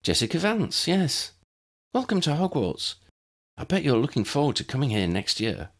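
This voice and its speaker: A man's voice: on the high side, big pitch swings, normal speed, pitched above the performer's own voice. A man voicing a friendly, welcoming young schoolgirl in an audiobook. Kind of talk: audiobook character voice.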